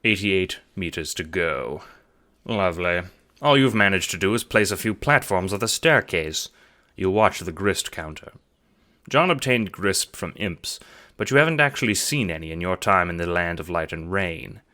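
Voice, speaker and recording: A man narrating an audiobook-style drama in the second person with an expressive storytelling delivery. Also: deep voice, moderate pace.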